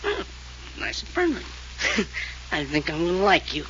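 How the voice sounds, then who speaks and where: A well-bred drunk in a 1940s radio mystery. He rambles with mock politeness and amusement, his fairly high voice swinging widely in pitch. A faint layer of old transcription noise sits on the recording. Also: huge pitch swings, moderate pace, sharp tone, exaggerated voice, rough recording. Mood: tipsy, genial. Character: tipsy, flippant, well-bred man of the house.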